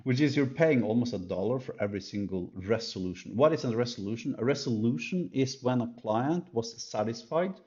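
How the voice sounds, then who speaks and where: Low-voiced man giving an emphatic product demo. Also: a little mellow, dull audio. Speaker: company presenter comparing products. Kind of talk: unscripted screen-recorded product demo commentary.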